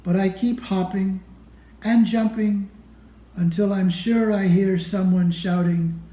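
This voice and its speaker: Male storybook reader for children: unhurried, soft-edged tone, slightly high pitch, expressive delivery, roomy sound. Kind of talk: expressive children's picture book read-aloud.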